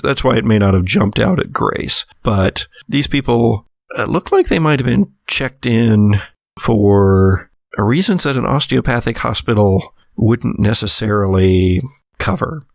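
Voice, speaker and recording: A man runs a horror tabletop game as game master. His voice is fairly low with heavy fry, and it is recorded very dry. He goes haltingly, feeling for his words. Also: no room sound.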